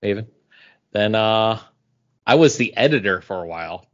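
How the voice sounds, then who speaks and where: A man's voice: fairly low pitch, expressive, normal speed, a bit bright, muffled recording. A man co-hosting a podcast in casual conversation, joking in a self-deprecating way. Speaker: podcast co-host. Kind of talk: casual chat.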